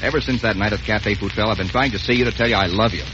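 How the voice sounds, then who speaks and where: An actor playing a brash, lovesick young bandleader, impetuous and wisecracking, in a 1940s radio romance. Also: male voice, fairly low pitch, rapid-fire, background noise, lightly acted. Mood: ardent. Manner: impetuous, wisecracking, stammering repeats. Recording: muffled old radio recording, music behind.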